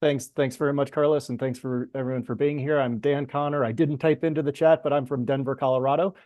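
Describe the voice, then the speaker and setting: A man's voice: mid-range voice, fairly even intonation, fast, no room sound, muffled recording. Chatty, easygoing man on a dry call. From East Coast of the United States. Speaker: energy project consultant, panelist. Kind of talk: self-introduction on a webinar. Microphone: video call.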